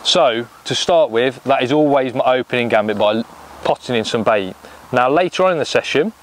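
An English man in his thirties talks through fishing tips step by step in a practical, explanatory way. His voice sits in a middle pitch. He is recorded outdoors by a lake on a clip-on mic, with a faint hum of noise behind him. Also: normal speed. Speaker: angling coach. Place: lakeside bank outdoors.

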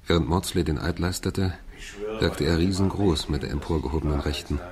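An actor playing a grave, reflective narrator in a radio mystery, speaking in a solemn, literary manner. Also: male voice, rumbling low, a little fast, a little mellow, a little hiss or hum. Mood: solemn, sombre. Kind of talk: scripted narration in a radio play.

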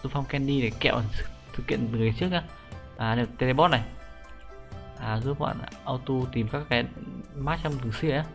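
A young man giving a casual, slangy game tutorial as an excitable YouTuber, talking viewers through a menu. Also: mid-range voice, fairly quick. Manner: informal, excitable slang, repeated sentence-end particles. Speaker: gaming YouTuber. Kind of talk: casual screen-recorded game script tutorial. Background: music.

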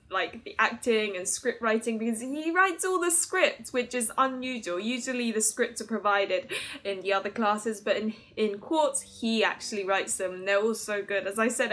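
A woman in her twenties giving a casual, chatty game review to camera in an animated, giggly manner. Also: a little high. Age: 20s. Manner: animated, giggly, hand-gesturing. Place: small furnished room at home, indoors. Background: music under the voice.

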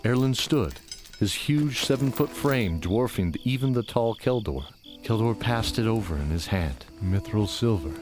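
Deep guy narrating an epic fantasy story, music playing underneath. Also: lively intonation, measured pace.

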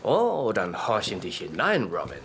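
Smug young womanizer, lively pitch, faint hiss behind, teasing. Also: measured pace, heavily acted. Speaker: German dub actor.

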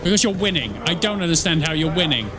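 A middle-aged man with a slightly high voice reacts casually to what he watches. He is sarcastic and nitpicky, and music plays clearly behind him. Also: lively intonation, quick pace. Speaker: reaction streamer. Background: game and cutscene audio with music.

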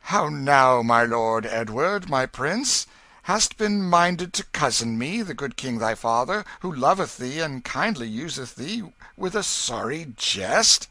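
A stern old king softens into gentle, archaic speech, as a man reading a storybook puts him on. The voice is raised above the reader's own and carries a lively lilt. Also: medium pitch, takes it slowly, no echo, pitched above the performer's own voice, heavily acted. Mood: reproachful. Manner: archaic, soothing, kingly speech. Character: stern aging king, gentle toward his son.

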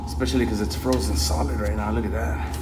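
A man's voice: lowish voice, fairly even intonation, fast talker. A man giving a casual, informal hands-on work commentary as a technician, speaking to his viewers while he works. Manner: informal, addresses viewers as guys. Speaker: refrigeration technician, vlog host. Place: on site at work, inside walk-in freezer and outdoors at condensing unit. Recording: loud machinery and ambient noise, handheld camera.

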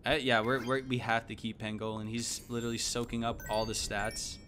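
A man, giving casual, slangy, animated live game commentary. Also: medium pitch, a little fast, faint music behind. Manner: animated, exclaiming, thinking aloud. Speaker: game streamer. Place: indoor room at home.

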